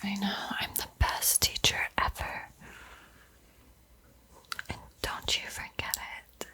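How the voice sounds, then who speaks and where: A woman whispering softly and breathily in a soothing, playful ASMR roleplay as she guides a painting tutorial. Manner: slow, soothing, playfully teasing. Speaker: art tutorial host.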